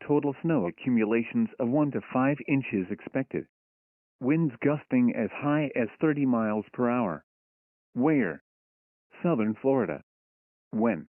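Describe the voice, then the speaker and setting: A synthetic male voice reading a scripted emergency weather bulletin in a formal, impersonal, measured way. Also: medium pitch, no echo. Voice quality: synthetic text-to-speech voice. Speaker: automated weather alert announcer. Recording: tinny phone-like weather radio broadcast.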